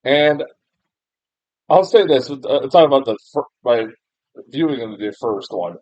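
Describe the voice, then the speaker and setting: A young man from the States rambles through a film review, tripping over his words and laughing at his own mistakes. The sound is dull and muffled, with a dry, close feel and no room around it. His voice sits at an ordinary middle pitch. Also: animated, measured pace, darkish tone, no room sound. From United States. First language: English. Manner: rambling, self-deprecating, frequent fillers and restarts, laughs often, mild swearing. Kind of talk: casual spoken film review.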